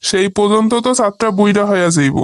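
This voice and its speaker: A man's voice: high voice, fast, clear, resonant tone, no room sound, natural voice. A man performs an exasperated village husband from a comic cartoon, speaking with a Bangladeshi accent. He protests and tries to reason. The recording is dry, with no room sound.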